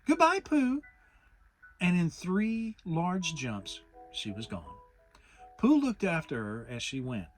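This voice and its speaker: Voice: medium pitch, sing-song, takes it slowly, a little mellow, soft background music. A narrator giving a dramatic reading of a children's story. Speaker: audiobook narrator.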